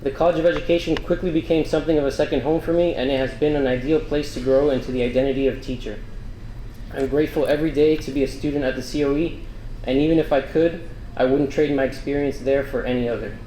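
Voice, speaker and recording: A man's voice: fairly high pitch, fast, slight background noise. A young man from Miami, Florida giving an earnest, grateful prepared speech as a scholarship student at a breakfast event. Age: young adult. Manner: earnest, grateful, steady delivery. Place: large banquet room. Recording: echoey hall, faint ambience.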